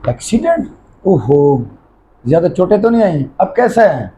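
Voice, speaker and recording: A man's voice: sits high, wildly swooping pitch, mellow, a little hiss or hum, low-quality recording. A man acting as a wealthy, kindly but firm employer in an amateur moral skit. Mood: concerned.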